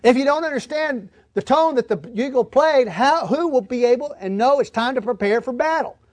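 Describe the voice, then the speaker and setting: A man's voice: very high-pitched, lively intonation, normal speed, dry recording. A man preaching a verse-by-verse Bible lesson in an emphatic, folksy, plain-spoken way. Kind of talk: Bible-teaching sermon, verse-by-verse reading and explanation.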